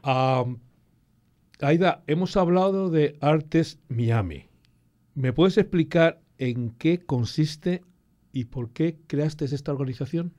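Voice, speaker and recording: A man's voice: a little high, slow, dark tone, dry recording. A man hosting an interview in a conversational way, putting questions to his guests. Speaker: interviewer, radio host.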